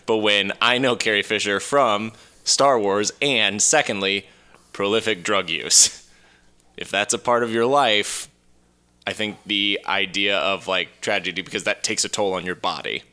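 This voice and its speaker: A man's voice: fairly low pitch, a little slow, pushed and bright, clean tone. A man co-hosting a podcast with casual banter and a blunt, irreverent manner. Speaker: podcast co-host.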